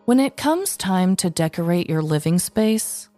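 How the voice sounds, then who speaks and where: A woman co-hosting a comedy podcast, casual and playfully expressive as she chats and tells stories. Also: on the low side, unhurried, no echo, faint music behind. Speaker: podcast co-host. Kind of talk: casual comedic chat and storytelling.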